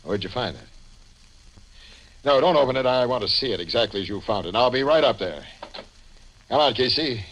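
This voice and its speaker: An actor playing a no-nonsense homicide police captain in a 1950s radio crime drama, businesslike and commanding, with some slang. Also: male voice, medium pitch, lively intonation, a little fast, pauses often, a bit bright, poor audio. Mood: brisk, commanding.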